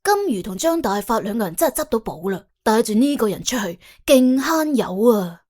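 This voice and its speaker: Very animated husky woman storyteller. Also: fairly high pitch, normal speed. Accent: Hong Kong or Guangdong Cantonese. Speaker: audiobook narrator. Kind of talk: colourful scripted story narration.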